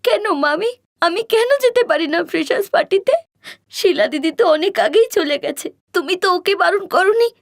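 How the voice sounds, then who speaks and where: A woman's voice: extremely high pitch, expressive, brisk, no echo, clean studio sound, pitched above the performer's own voice. A woman voicing a timid, hurt orphaned college girl in a cartoon story, speaking in a meek, pleading way.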